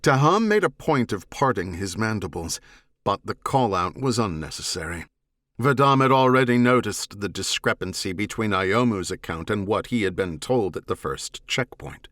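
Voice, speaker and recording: Low-voiced man narrating a serious audiobook. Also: expressive, fairly bright tone, no room sound, studio quality. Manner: measured, serious. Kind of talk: scripted dramatic narration of a science fiction novel.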